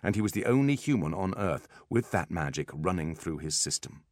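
A gravelly, animated man telling an adventure tale, the kind heard on a long night drive with an audiobook playing. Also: deep voice, brisk, no room sound, studio quality. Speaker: audiobook narrator. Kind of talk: dramatic scripted narration with character voices.

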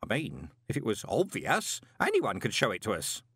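A man playing an anxious, superstitious old junior postman who mutters and rambles in rough colloquial speech. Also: lowish voice, wildly swooping pitch, moderate pace, a bit bright, no echo, studio quality, heavily acted. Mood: aggrieved, anxious.

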